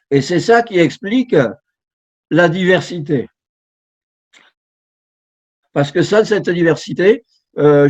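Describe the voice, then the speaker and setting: A man's voice: high voice, darkish tone, dull audio. A man in his sixties, a translator giving an online talk, hesitant with many fillers and restarts. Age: 60s. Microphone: online talk, video call.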